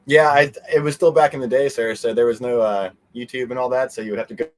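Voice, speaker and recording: A man's voice: medium pitch, steady tone, fast. A middle-aged man chatting casually as an interview guest, easygoing and meandering in how he talks. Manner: easygoing, meandering, chuckles often. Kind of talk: casual chat.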